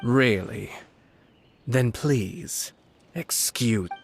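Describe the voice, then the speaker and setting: A man playing a calm, aristocratic government commissioner in a video game, speaking in a formal, measured, courteous way with quiet authority. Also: mid-range voice, vocal fry, slight background noise. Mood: calm command.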